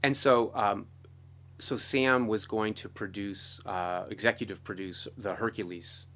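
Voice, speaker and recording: A middle-aged American man with a fairly low voice talks as a guest in a relaxed interview. He tells stories enthusiastically and loosely, circling back and peppering his phrases with "like" and "you know". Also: a little slow, slight background noise. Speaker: interview guest, film and television composer. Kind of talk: casual conversational storytelling.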